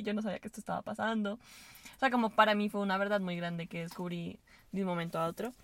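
Creaky-voiced young Venezuelan woman. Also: medium pitch. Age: young adult. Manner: chatty, rambling, hesitant with many fillers. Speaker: guest. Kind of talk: casual podcast chat. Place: inside a parked car.

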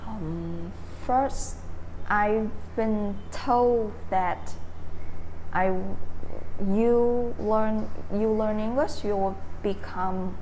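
Slow, hesitant Vietnamese-accented woman. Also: medium pitch, a little mellow, slight background noise, rough recording. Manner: hesitant, searching for words, many fillers and restarts. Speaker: interviewee, English learner. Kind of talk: casual interview answers.

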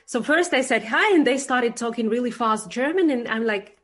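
A woman's voice: a little high, lively intonation, normal speed, a bit bright. A woman from Russia, speaking as an interviewer in a casual conversation.

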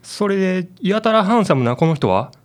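An actor with a Kansai accent playing a casual, blunt convenience store clerk in a radio drama. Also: male voice, high-pitched, sing-song, moderate pace. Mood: chatty.

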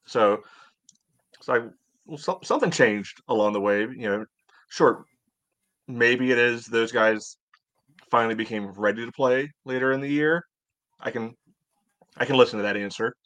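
A man co-hosting a sports podcast, chatting casually and thinking aloud in a halting, conversational way. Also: medium pitch, a bit bright. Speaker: podcast host.

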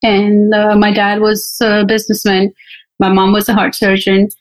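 A woman's voice: medium pitch, completely flat delivery, moderate pace, poor audio. A woman from Pakistan, a guest on a podcast, recounting her life in a conversational way with frequent fillers. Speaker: interview guest. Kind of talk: personal storytelling in an interview.

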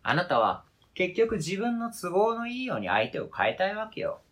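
A man's voice: high voice, sing-song, moderate pace, fairly bright tone, heavily acted. A man acting in a radio drama reading as a wounded, indignant adult who argues with cold, pedantic logic and interrogates relentlessly. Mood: relentless, contemptuous. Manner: pedantic, interrogating, formal argumentative phrasing.

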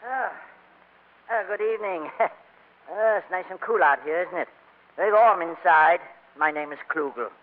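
A man's voice: high voice, moderate pace, long pauses, natural voice. A man playing a courteous, hesitant and rather fussy doctor in 1940s radio comedy. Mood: bewildered. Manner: hesitant, courteous, throat-clearing. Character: polite, bewildered doctor, soothing and fussy. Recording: muffled old radio broadcast.

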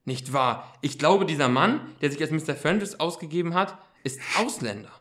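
A man's voice: fairly high pitch, expressive, moderate pace, fairly bright tone. A man playing an earnest, pedantic teenage boy detective with formal, precise wording in an amateur radio play.

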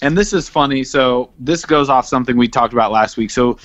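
A man's voice: medium pitch, a little fast, a bit bright, dull audio. A man co-hosting a comedy podcast with casual, jokey banter that slips easily into bits. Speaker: podcast co-host.

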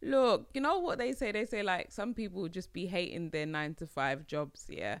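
A woman's voice: lowish voice, animated, moderate pace, no echo, clean studio sound. A young woman hosting a solo podcast, talking casually in a playful, slangy way, often laughing. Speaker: podcast host.

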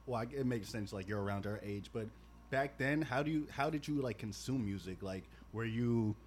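A man's voice: on the low side, normal speed. Male podcast host, mid-twenties, casual and rambly, slight noise in the room. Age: 20s. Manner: rambling questions, animated laughs.

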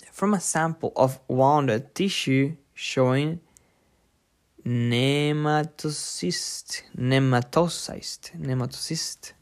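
A podcast host giving an informal lesson from a book, thinking aloud haltingly and repeating difficult words. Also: mid-range voice. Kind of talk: informal lesson read from a book with personal asides.

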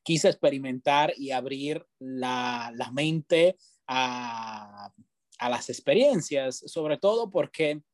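Italian man, relaxed interview guest. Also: mid-range voice, slow pace, no echo. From Italy. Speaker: guest, television journalist. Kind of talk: casual interview talk.

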